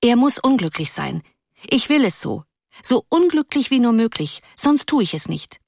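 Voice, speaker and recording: A woman voicing a willful, dreamy teenage schoolgirl who speaks emphatically and declaims verse softly. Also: on the high side, very animated, moderate pace, gravelly, no echo, studio quality, voice pushed up, full character voice. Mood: insistent.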